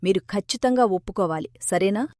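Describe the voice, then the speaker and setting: A woman playing a gentle, self-sacrificing village wife in a children's moral cartoon, speaking earnestly. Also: mid-range voice, fairly quick, no echo, clean studio sound, natural voice. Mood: insistent, sorrowful.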